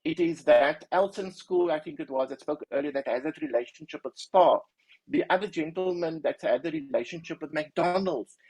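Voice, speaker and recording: Husky man urging on a call. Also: on the high side, normal speed, darkish tone, no room sound, poor audio. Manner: earnest, urging, informal. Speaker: webinar presenter. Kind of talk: online webinar presentation. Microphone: video call.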